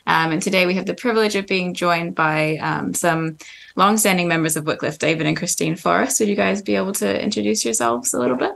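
A young woman from New Zealand with a softened Kiwi accent, co-hosting a podcast, friendly and often murmuring agreement. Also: lowish voice, brisk, creaky, a little hiss or hum. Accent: mixed, not typically Kiwi. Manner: friendly, frequent backchannel mm. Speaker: podcast co-host, communications worker. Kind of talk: casual podcast chat.

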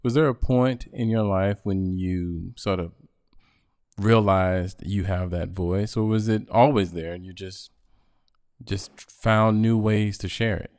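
Male podcast host, low voice, dry close sound, halting with restarts. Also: steady tone, darkish tone, smooth, no echo. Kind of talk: interview conversation.

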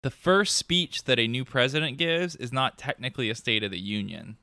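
A man's voice: medium pitch, normal speed, bright, forceful tone, no room sound, clean studio sound. A man hosting a casual podcast, chatting and laughing often while he recaps a TV episode. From New York state. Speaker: podcast host.

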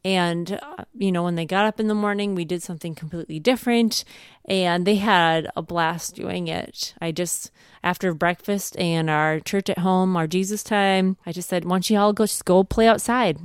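Female podcast co-host, smooth lowish voice, encouraging, conversational advice in a close dry recording. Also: moderate pace, dry recording. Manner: encouraging, anecdotal. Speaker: podcast co-host, homeschooling mother.

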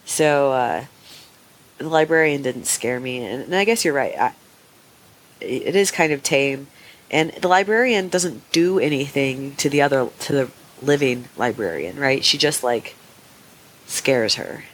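A young woman co-hosting a podcast, sick and raspy, chatting casually and rambling with lots of fillers. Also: rumbling low, lively intonation, a little slow. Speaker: podcast co-host.

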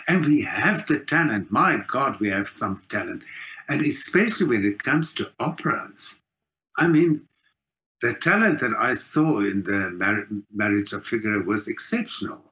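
A man's voice: lowish voice, expressive, moderate pace, pushed and bright. A man with a South African accent giving an opinionated, emphatic answer in a conversational interview. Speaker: interview guest, theater actor and singer.

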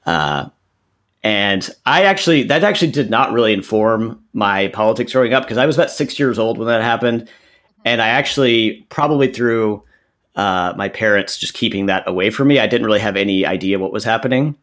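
A man in his thirties from Central Texas, a podcast guest who explains his views in an earnest, measured way. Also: lowish voice, expressive, a bit bright. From Central Texas, USA. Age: 30s. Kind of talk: podcast interview answers.

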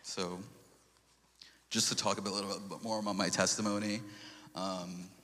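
Man, very low voice, bright and forceful tone, earnest testimony, long hall reverb. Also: flat delivery, moderate pace. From Sri Lanka. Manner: earnest, with restarts and quoted scripture. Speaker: lay speaker giving a testimony. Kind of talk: church testimony talk.

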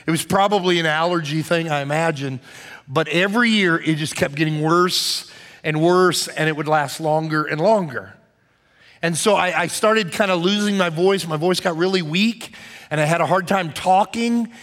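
A pastor delivers his sermon in a slightly higher-than-average man's voice. He speaks conversationally and drives his points home by repeating key phrases. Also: moderate pace, fairly bright tone. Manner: conversational preaching with emphatic repetition.

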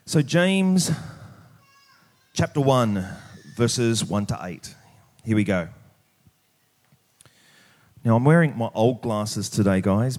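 A man's voice: lowish voice, darkish tone. Australian man preaching in a conversational way. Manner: conversational, informal, plain-spoken. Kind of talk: church sermon with prayer, scripture reading and casual anecdotes.

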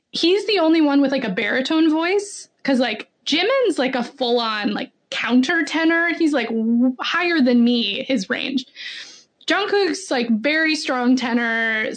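High-voiced young woman, slow and gushing. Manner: gushing, effusive fan talk. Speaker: podcast guest. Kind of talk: casual podcast chat.

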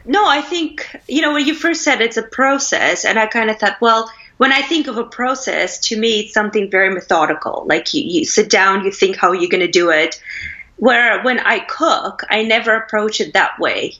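A woman's voice: medium pitch, expressive, moderate pace, pushed and bright, dull audio. A woman from Russia, a food blogger guest in an interview, talking in a friendly, easy way and laughing often. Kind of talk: casual interview chat.

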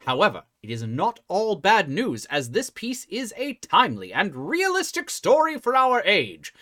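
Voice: medium pitch, wildly swooping pitch, a little slow, a bit bright. A show host giving a theatrical, wry scripted introduction with a showy manner.

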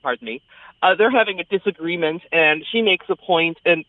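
A woman's voice: on the low side, brisk, no room sound. Woman critic on a thin, phone-like line. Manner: chatty, enthusiastic. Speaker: theater critic. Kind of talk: conversational radio review. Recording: thin phone-like sound, dry.

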